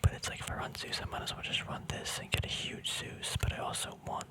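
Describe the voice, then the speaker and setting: Man, soft breathy whisper, ASMR, casual commentary, hesitant, thinking aloud. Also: fast talker. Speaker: ASMR video creator. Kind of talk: ASMR, casual rambling commentary on a card deck.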